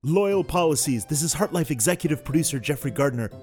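A man's voice: a little high, animated, brisk, darkish tone, soft background music. A man, the show's executive producer, reading a scripted podcast outro announcement.

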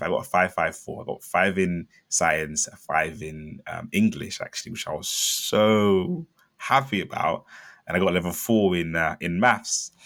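A young man from Zambia, a company founder telling his story in a chatty interview, with lots of fillers and bursts of excitement. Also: sits low. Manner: chatty, many fillers, animated when excited. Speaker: startup founder guest.

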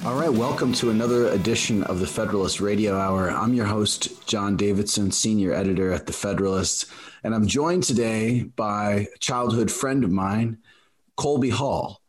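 A man in his forties hosts a relaxed interview show. His voice sits fairly low, and he talks at length, drifting into asides as he sets things up. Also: moderate pace, slightly rough. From South Central Alaska. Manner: talkative, explains at length with frequent asides. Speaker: podcast host. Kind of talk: conversational interview.

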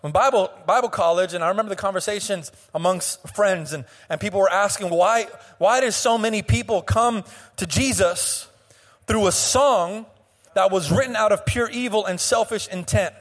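Young male pastor, high voice, slightly hoarse, passionate half-sung preaching, long church reverb. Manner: impassioned preaching with sung, drawn-out phrases and frequent restarts. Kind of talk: sermon. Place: church sanctuary.

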